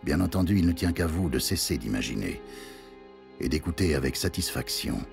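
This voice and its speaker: An actor playing a wry narrator of a darkly satirical radio fiction, in an ironic, theatrical scripted delivery. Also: male voice, rumbling low, expressive, fairly quick, gravelly, over music, exaggerated voice.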